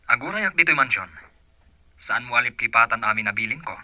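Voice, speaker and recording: A man's voice: medium pitch, lively intonation, fast talker, background noise, lightly acted. A man acting a bossy, impatient employer in an Ilocano radio drama.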